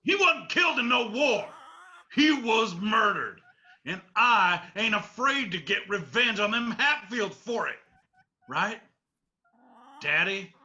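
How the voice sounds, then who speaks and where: An actor playing a hot-headed, vengeful young mountain son in a radio drama, with an Appalachian accent. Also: male voice, high-pitched, lively intonation, slow, sharp tone, lo-fi sound, exaggerated voice. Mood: vengeful fury.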